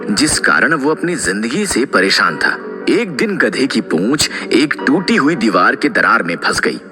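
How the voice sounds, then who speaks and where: Man as a slow, patient storytelling father. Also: on the low side, sharp tone, big-room sound, exaggerated voice. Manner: instructive, measured. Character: patient, instructive father telling children a moral story. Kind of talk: scripted storybook narration.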